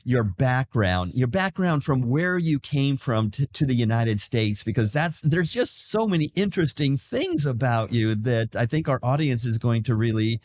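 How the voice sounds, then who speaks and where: Male podcast host, mid pitch, enthusiastic and loose, easy rambling questions. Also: expressive, normal speed, few pauses, a little mellow. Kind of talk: podcast interview.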